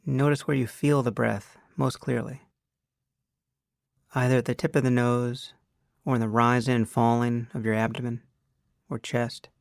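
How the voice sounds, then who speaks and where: Creaky-voiced man guiding a meditation. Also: medium pitch, level tone, no echo, clean studio sound. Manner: unhurried, measured, with long pauses. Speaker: meditation teacher. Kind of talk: guided meditation instruction.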